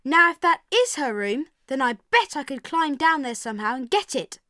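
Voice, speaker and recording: A woman's voice: high-pitched, very animated, moderate pace, bright, forceful tone, full character voice. A woman voicing an eager, plucky young boy who has been turned into a mouse, in an audio drama. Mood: eager, scheming.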